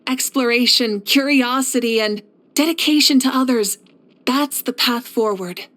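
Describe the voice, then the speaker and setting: Confident young fire archon lady, warm game heroine, kinda high voice, poised and earnest. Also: measured pace. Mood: encouraging. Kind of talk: scripted video game character voice lines.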